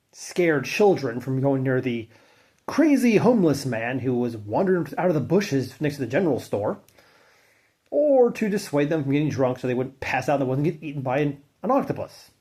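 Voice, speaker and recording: A man's voice: medium pitch, big pitch swings, normal speed, warm tone, breathy edge. A man narrating an old legend in a casual, offhand way, wry and a bit profane, with fillers and loose restarts. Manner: wry and offhand, with mild swearing. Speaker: narrator and channel host. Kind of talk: casual spoken folklore narration.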